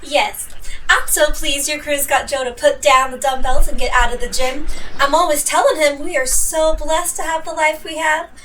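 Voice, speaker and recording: A woman's voice: high-pitched, fairly even intonation, moderate pace, a bit bright, distant mic, slight background noise. A woman playing a sweet, gushing philanthropist wife in an audio drama. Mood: gushing, affectionate.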